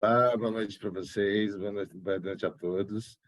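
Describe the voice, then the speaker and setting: A man in his fifties from São Paulo with a slight gaúcho accent, a forensic expert guest recovering from a cold and talking in a relaxed, rambling way. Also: fairly low pitch, unhurried, no echo. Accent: slight southern Brazilian gaúcho. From São Paulo, Brazil. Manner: relaxed, rambling, with frequent restarts. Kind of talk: casual interview talk.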